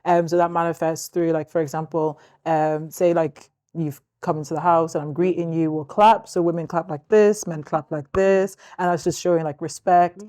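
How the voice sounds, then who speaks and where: A young woman talks in a chatty, relaxed way and laughs often. Her voice is warm and mellow, and it sits a little low. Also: moderate pace, hardly any pauses. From Zimbabwe, raised in Northern Ireland. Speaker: guest, youth leader. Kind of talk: casual chat.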